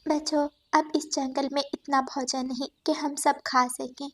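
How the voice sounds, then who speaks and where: A woman voicing a caring, worried mother bird in an animated moral story. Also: sits high, unhurried, pitched above the performer's own voice. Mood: sad, resigned.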